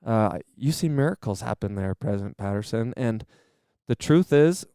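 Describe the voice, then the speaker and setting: A man, a training-center manager and podcast guest, explaining earnestly and enthusiastically in a steady conversational way. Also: on the low side, moderate pace, smooth, no room sound, clean studio sound.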